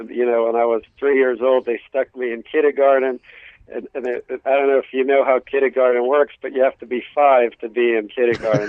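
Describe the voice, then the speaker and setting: A man's voice: medium pitch, no room sound. A middle-aged man with a New York-area accent, a native Greek speaker, telling jokey stories as an interview guest in a rambling way with stammering restarts. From New York and New Jersey, US. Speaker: guest, stand-up comedian. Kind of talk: casual comic storytelling in an interview. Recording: dull lo-fi radio sound.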